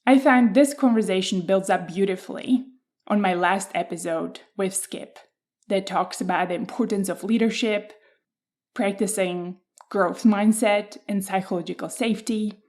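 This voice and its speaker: A woman hosting a podcast, reading a measured, reflective introduction and then welcoming her guest. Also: mid-range voice, darkish tone. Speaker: podcast host.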